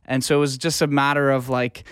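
A man's voice: mid-range voice, level tone, a little fast, fairly bright tone, smooth, dry recording, studio quality. A man speaking casually as a music producer being interviewed, explaining things informally with examples. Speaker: music producer and audio engineer guest.

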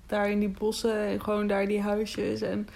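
A woman's voice: mid-range voice, monotone, moderate pace, vocal fry, a little mellow. A woman in reflective, casual conversation as an interviewer, thoughtful and tentative, often agreeing.

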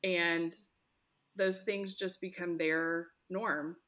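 Bright-voiced woman speech therapist. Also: on the low side, very slow. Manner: earnest, expressive, speaks in quoted examples. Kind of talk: conversational interview.

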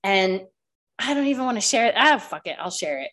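A woman's voice: mid-range voice, big pitch swings, a little fast, fairly bright tone. A woman hosting a podcast in casual chat, a bit congested and nasal with a slight cough, who talks loosely and swears often. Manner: chatty, swears casually, lots of "like". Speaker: podcast host.

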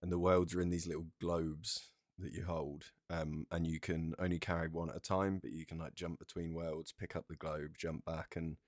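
A man's voice: low voice, little pitch movement, normal speed, few pauses, no echo, studio quality. A man co-hosting a podcast, chatting casually and explaining things in everyday conversational language. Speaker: podcast co-host. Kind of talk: casual chat.

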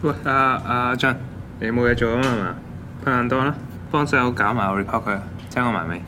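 An actor with a Hong Kong accent playing a pushy, condescending office boss who nags and preaches at junior staff in an audio drama. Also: male voice, medium pitch, brisk, noisy background, lightly acted. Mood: pushy, patronising. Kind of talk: Cantonese audio drama acting.

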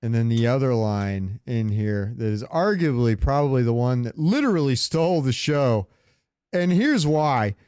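A man's voice: mid-range voice, normal speed, clean tone, no echo. A man hosting a podcast in casual fan chat, talking freely and profanely. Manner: profane, animated retelling with quoted lines. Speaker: podcast host.

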